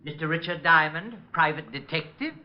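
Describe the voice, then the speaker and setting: A man's voice: on the high side, lively intonation, normal speed, over music, lightly acted. An actor playing an eager, delusional little man in a radio comedy-detective play, fussy, earnest and boastful. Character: eccentric delusional little man, eager self-styled bodyguard. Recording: dull old radio recording.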